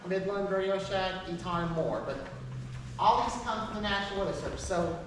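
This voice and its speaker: Woman, amateur presenter, halting read-aloud, heavy echo and clear background noise. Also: mid-range voice. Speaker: presenter giving a class. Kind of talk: informal presentation read from a paper. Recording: echoey hall from far off, noisy.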